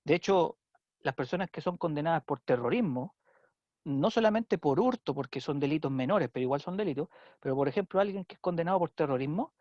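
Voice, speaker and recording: A man's voice: fairly high pitch, animated, fairly quick, a little mellow, no echo, muffled recording. A male teacher with a Chilean Spanish accent giving an online civics class, chatty and checking in often with his students. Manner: conversational, checks in with frequent "¿ya?".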